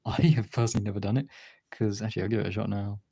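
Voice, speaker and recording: Mellow, sweary art streamer chatting casually. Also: low voice, level tone, quick pace, creaky, slightly rough. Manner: informal, sweary. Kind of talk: casual livestream chat while demonstrating drawing.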